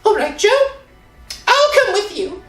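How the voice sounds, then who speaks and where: Casting: anxious, devoted woman for vintage radio suspense, extremely high voice, unhurried delivery, roomy sound with faint music. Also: big pitch swings, full character voice. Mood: concerned. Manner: earnest, pleading. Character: worried, loyal partner of a desperate man, pleading and soothing. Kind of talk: 1960s radio thriller acting.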